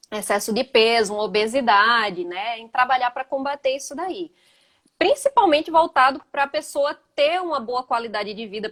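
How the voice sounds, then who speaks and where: A woman in her thirties with a Gaúcho accent talks as a physical education teacher on a video call. Her voice sits slightly high. She speaks casually, with frequent "né" tags. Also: fairly bright tone. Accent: Gaúcho (southern Brazilian) Portuguese. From Northeast Brazil. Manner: conversational, many "né" tags. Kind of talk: casual live chat.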